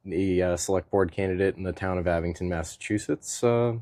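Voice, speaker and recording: A man's voice: low voice, fairly quick, dark tone, dry recording, studio quality. A man hosting an interview podcast, introducing his guest with hesitant fillers and laughing along. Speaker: podcast host.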